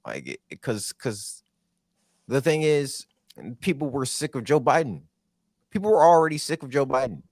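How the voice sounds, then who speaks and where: A man's voice: mid-range voice, sing-song, normal speed, a little mellow, no room sound, studio quality. A man co-hosting a podcast, talking bluntly with lots of slang in casual chat. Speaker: podcast co-host.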